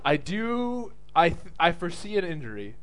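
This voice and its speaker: A man's voice: a little high, very animated, moderate pace, fairly bright tone. A young man hosting a casual podcast, teasing his guest in a playful way with lots of fillers. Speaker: podcast host. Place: student studio or room.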